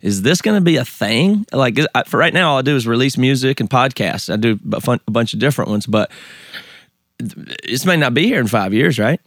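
A man with a Southern US accent hosting a podcast interview, talking in a relaxed, chatty way with frequent restarts and easy laughs. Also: medium pitch, a little fast, no room sound, studio quality. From American South. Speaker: podcast host. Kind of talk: casual interview chat.

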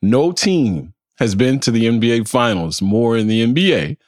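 A man's voice: mid-range voice, big pitch swings, moderate pace, no room sound, clean studio sound. A man hosting a casual sports podcast, riffing in a slangy, profane, conversational way. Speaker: podcast host.

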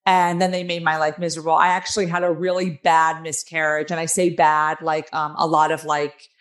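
Middle-aged woman guest, Jersey accent, raspy and nasal, low-ish voice, candid and emphatic. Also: little pitch movement, a little fast. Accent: New Jersey. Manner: earnest, emphatic, with many fillers. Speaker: guest author. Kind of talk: candid interview storytelling.

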